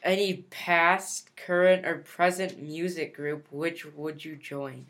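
A woman with a fairly low voice reading out interview questions on a family podcast. She keeps a dry, matter-of-fact tone as the others chat around her. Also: fairly even intonation, slow, a bit bright.

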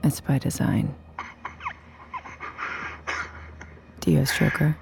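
An actress playing a sultry, teasing, commanding virtual companion for hire in an audio drama, flirting seductively. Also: female voice, rumbling low, fairly quick, music in the background, heavily acted. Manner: flirtatious, seductive, breathy asides.

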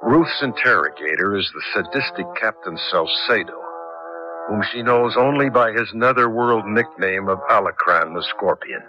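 A man's voice: on the low side, animated, moderate pace, over music. A man announcing with dramatic, scripted narration for a radio drama. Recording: dull lo-fi old radio broadcast.

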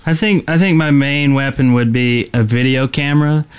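A man's voice: medium pitch, moderate pace, clean tone. A man with a mid-range voice chats casually as a co-host on a video game podcast. His delivery is informal and conversational. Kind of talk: casual chat about video games.

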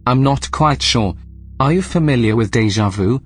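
Male station AI, audio drama. Formal, measured, politely probing, coolly suspicious. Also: mid-range voice, lively intonation, breathy edge, soft background music. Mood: calm suspicion.

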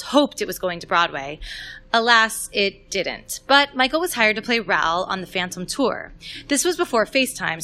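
A woman who performs in musical theatre, reading a scripted personal story aloud in a polished, expressive way. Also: mid-range voice.

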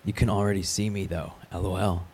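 A male actor playing a laconic, casual man on a dating app in a radio play, speaking in a deadpan way. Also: deep voice, brisk, breathy edge. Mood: guarded, flirty. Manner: deadpan, reads out chat abbreviations. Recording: some background noise.

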